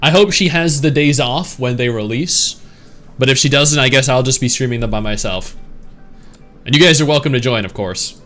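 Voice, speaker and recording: Guy streamer just rambling back at his chat, mid pitch, easygoing, bit of music under it. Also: normal speed, a bit bright. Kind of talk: casual chat reading live chat.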